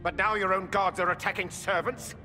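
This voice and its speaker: Indignant envoy, stern, echoing hall, music. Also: male voice, sits high, fairly even intonation, a little fast, bright, forceful tone. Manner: accusing, stern. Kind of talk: video game voice acting.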